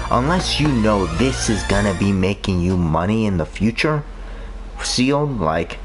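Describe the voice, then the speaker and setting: A man with a low, deep voice talks in a flat monotone as he streams a game, wandering from thought to thought with plenty of "um"s and restarts. Loud game music plays under his voice the whole time. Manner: rambling, with many fillers and restarts. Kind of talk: casual rambling chat while streaming a game.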